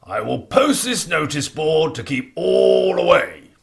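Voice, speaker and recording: A man's voice: fairly high pitch, wildly swooping pitch, slow pace, gravelly, exaggerated voice. A man playing a grumpy, selfish, blustering giant in a theatrical children's story. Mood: indignant, gruff. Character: grumbling, selfish old male giant, loud and bullying.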